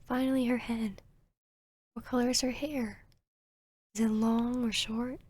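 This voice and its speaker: A woman acts out an arrogant female vampire posing as a therapist in an intimate ASMR roleplay. Her voice is a little high and very breathy, often sinking toward a whisper. She speaks slowly and soothingly, with a teasing, hypnotic authority. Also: steady tone, darkish tone, no room sound. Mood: soothing, probing. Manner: slow, soothing, commanding, teasing.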